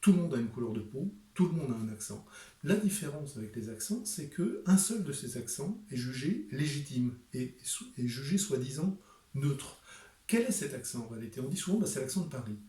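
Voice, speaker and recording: A man's voice: medium pitch, very animated, brisk, dark tone, distant mic. Man giving an emphatic talk in an echoey room. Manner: emphatic, rhetorical, with frequent hesitations. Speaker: author presenting his book. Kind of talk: direct-to-camera book presentation.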